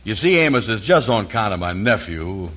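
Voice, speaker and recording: A low-voiced, slow-witted but big-hearted uncle from a 1940s radio comedy. He talks in a folksy, ungrammatical drawl, humble and proud. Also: very animated, a bit bright. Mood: humble, pleading. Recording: vintage 1940s radio broadcast.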